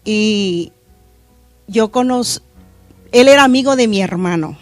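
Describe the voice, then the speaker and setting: A middle-aged woman pastor from Honduras, reflecting earnestly on her faith in a studio interview, pausing with fillers. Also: medium pitch, no echo, soft background music. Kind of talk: testimony interview. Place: church media studio.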